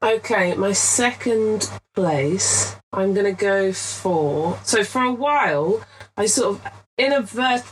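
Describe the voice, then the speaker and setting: A woman's voice: medium pitch, expressive, slow pace, a little hiss or hum, poor audio. A woman, a guest artist in a casual podcast chat, telling rambling stories and laughing often. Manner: chatty, rambling storyteller who laughs often.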